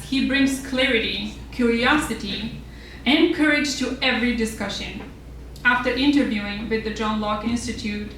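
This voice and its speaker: A woman's voice: a little high, a bit bright. A woman teacher introducing student speakers at an event, heartfelt and emotional, partly scripted and partly off the cuff. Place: large echoey room, on stage. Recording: echoey hall, distant mic, faint noise.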